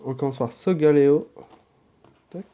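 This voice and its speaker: Male vlogger with a cold: unhurried, deep-toned, dark and soft delivery, mumbles asides mid-task. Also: lo-fi sound, slight background noise. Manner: relaxed, drops to muttered asides while handling cards. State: has a head cold and sore throat. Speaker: card-opening vlogger. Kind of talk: casual chat while opening card packs.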